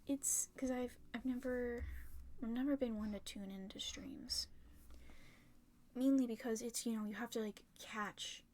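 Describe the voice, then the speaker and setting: A young woman chatting casually and rambling on a livestream while drawing, swearing freely, her voice a little rough and raspy from talking for a long time. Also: a little high, fairly even intonation, a little slow, warm tone. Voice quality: slightly raspy. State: tired, sore throat from long talking. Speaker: art streamer.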